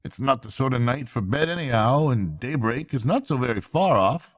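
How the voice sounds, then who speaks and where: A worried water rat from a bedtime storybook, acted out by a man. The voice is lifted high and confides gravely and earnestly, like a kind friend sharing troubles. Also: animated, moderate pace, lightly acted. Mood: resolved. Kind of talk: bedtime storybook character reading.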